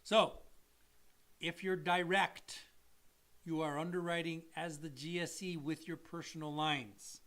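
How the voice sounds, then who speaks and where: Man, high-pitched, loan officer, casual explainer, emphatic, blunt. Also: steady tone, measured pace, fairly bright tone. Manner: emphatic, repeats key points, blunt asides. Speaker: mortgage loan officer co-host. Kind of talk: casual explanatory chat, answering viewer questions.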